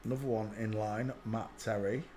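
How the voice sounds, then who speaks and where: A man hosting his own channel, giving brash, scoffing commentary over video clips, with quick asides. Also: on the low side, fairly even intonation, warm tone, a little hiss or hum.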